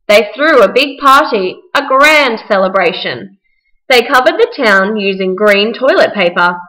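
A woman's voice: mid-range voice, bright, forceful tone, poor audio. A woman narrating a rhyming children's story for a puppet show, reading from a script.

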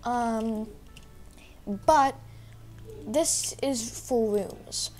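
A woman's voice: fairly high pitch, very slow, darkish tone, faint music behind. A young woman who is sick, talking through a game walkthrough in a rambling, hesitant way with lots of fillers. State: sick, voice a little rough. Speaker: gaming YouTuber. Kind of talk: casual gameplay walkthrough.

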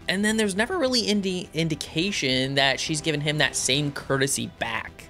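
A man in his thirties talking expressively and conversationally in a casual review, close on the microphone in a home studio. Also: on the high side. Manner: expressive, conversational, acting out reactions and quotes. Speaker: YouTube reviewer and host. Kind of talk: casual spoken review to camera. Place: small home studio room. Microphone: close microphone on a boom arm.

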